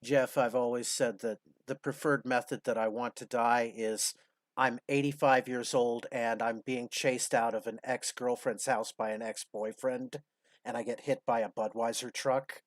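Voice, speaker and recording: A man's voice: mid-range voice, fairly even intonation, normal speed, no echo. A man in his fifties hosting a casual commentary, blunt, sarcastic and profane. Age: 50s. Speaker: host.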